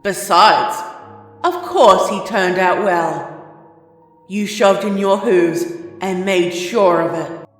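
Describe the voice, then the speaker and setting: A man voicing a haughty, sneering immortal sun-demon woman, purring slowly and condescendingly through a heavy reverb effect. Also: high voice, soft background music, pitched above the performer's own voice. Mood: bitter.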